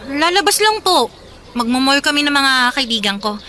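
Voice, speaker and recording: A woman's voice: high voice, sing-song, normal speed, sharp tone, exaggerated voice. A woman playing a polite, dutiful teenage daughter in a radio drama, speaking respectfully to her mother. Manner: respectful, uses polite forms.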